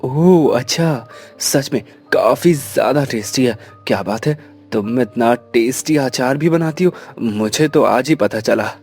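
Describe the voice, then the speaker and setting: A man voicing easygoing, appreciative Indian family men in an animated story, chatty and hearty. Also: mid-range voice, measured pace, husky, soft background music. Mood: pleased, curious. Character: easygoing, appreciative Indian husband and his friendly pal.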